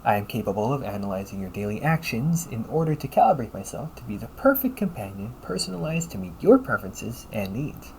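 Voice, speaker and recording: A man playing a polite, caring, gently teasing AI companion in an intimate audio roleplay, speaking formally to the listener. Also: medium pitch, sing-song, fairly quick, gravelly, few pauses, poor audio. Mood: earnest, warm. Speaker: voice actor. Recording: noticeable background noise.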